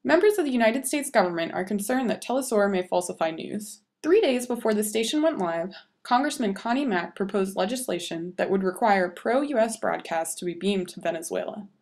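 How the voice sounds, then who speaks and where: Woman reading scripted narration, mid-pitched. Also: lively intonation, a little fast, darkish tone.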